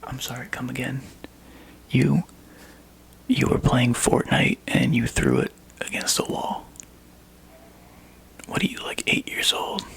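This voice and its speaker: A man plays a laid-back game store clerk in an ASMR roleplay. He murmurs and whispers close to the mic at a medium pitch, with a lot of crackly fry. His delivery is casual and wry, as if chatting one-on-one with a customer. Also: sing-song, unhurried, pauses often. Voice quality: breathy whisper and soft low murmur. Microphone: close microphone.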